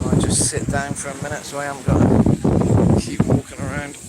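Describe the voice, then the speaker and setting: An English man chatting casually during a live stream on the beach, with lots of fillers and pauses. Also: mid-range voice. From England. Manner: relaxed, hesitant, many fillers. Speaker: livestreamer. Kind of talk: casual live stream chat answering viewers. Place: outdoor beach, windy. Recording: loud wind and surf noise outdoors.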